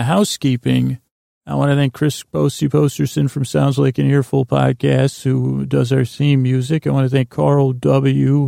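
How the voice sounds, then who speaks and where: A man hosting a bedtime podcast in a gravelly voice, rambling in a halting, meandering way and often interrupting himself to lull listeners to sleep. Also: mid-range voice, warm tone, dry recording, studio quality. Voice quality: gravelly, creaky. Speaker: podcast host.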